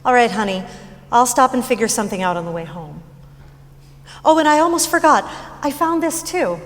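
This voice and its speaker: A woman playing a gentle, anxious mother coaxing her grieving daughter in a staged reading, with halting, tentative speech. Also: a little high, lively intonation. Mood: warm, wistful. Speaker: actor in staged reading of musical. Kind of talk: live stage reading acting. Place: high school stage. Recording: echoey hall, long reverb.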